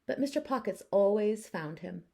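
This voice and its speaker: A woman teacher narrating a children's storybook aloud with expressive, deliberate phrasing. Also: mid-range voice, vocal fry.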